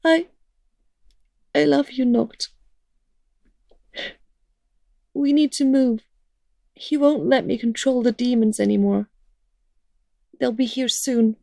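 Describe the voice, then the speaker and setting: A woman's voice: a little high, fairly even intonation, measured pace, gravelly, pauses often, warm tone, no echo, clean studio sound. A woman playing a loving, resolute young heroine in an audio drama, speaking with earnest emotion. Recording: music under the voice.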